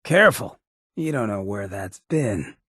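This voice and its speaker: An actor playing a roguish, watchful ghoul sidekick with a raspy voice and clipped, streetwise talk, in video game dialogue. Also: male voice, lowish voice, very animated, a little slow, pushed and bright, no echo, clean studio sound, full character voice. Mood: alert, wry.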